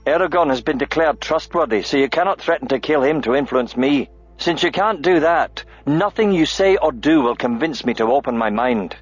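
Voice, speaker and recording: A man's voice: fairly high pitch, lively intonation, normal speed, breathy edge, pitched above the performer's own voice. A man voicing a stoic, defiant young male captive who speaks stonily and firmly. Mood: stony. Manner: stony, firm. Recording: lo-fi audiobook with faint music.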